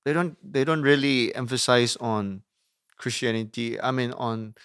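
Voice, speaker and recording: A young youth minister answering questions on a podcast, a man speaking second-language English in a smooth voice, close and dry. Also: medium pitch, steady tone, unhurried, a bit bright, no echo. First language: not English. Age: young adult. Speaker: interview guest, youth minister. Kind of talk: conversational interview about ministry.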